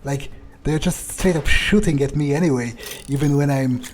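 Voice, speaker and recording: A man role-playing an arrogant, brooding vampire in a game playthrough, musing his thoughts aloud in a halting, dryly profane way. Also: on the high side, slight background noise, lightly acted. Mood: wry, musing. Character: brooding, arrogant, blood-hungry male vampire.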